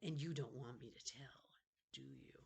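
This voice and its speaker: A woman's voice: very deep voice, big pitch swings, normal speed, darkish tone. A woman voicing a menacing boy bully from a storybook, sneering and taunting with hissed threats.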